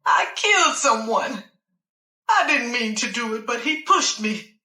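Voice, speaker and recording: A woman's voice: mid-range voice, sing-song, moderate pace, pushed and bright, reverberant. A woman voicing a volatile, furious woman who curses in explosive outbursts. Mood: tearful.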